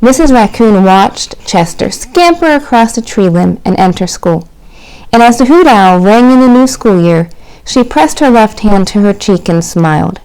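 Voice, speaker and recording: A woman's voice: medium pitch, lively intonation, normal speed, a little mellow, clear, resonant tone, poor audio. A woman in her thirties, a children's librarian, reading and presenting a storytime for young children.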